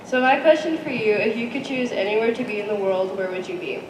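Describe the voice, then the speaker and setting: A woman fan asking a convention panel a short, soft-spoken question. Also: fairly high pitch, expressive, fast. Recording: echoey hall, voice from far off, faint hum.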